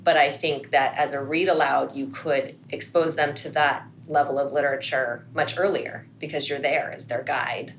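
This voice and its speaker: A woman with a notably low-pitched voice gives advice as a podcast guest. Her delivery is conversational and explanatory, like a school administrator talking to parents, recorded with faint background noise. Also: steady tone, moderate pace, few pauses.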